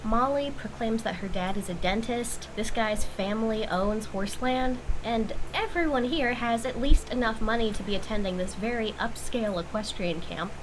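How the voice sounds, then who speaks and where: A woman's voice: a little high, moderate pace, few pauses. Wry woman commentator over background noise. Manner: wry, critical. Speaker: video commentator reviewing a cartoon. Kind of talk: recap commentary.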